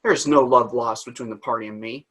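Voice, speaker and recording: An actor playing a defiant, self-justifying mid-century director in a staged reading, addressing the listener bluntly and emphatically in a theatrical monologue. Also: male voice, medium pitch, fairly even intonation, fairly quick, fairly bright tone, breathy edge. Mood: defensive, defiant.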